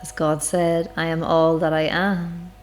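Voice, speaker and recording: A woman with a lowish voice leads a spiritual guided meditation. She speaks very slowly and reflectively, leaving long, measured pauses between phrases. Music plays behind her words. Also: flat delivery.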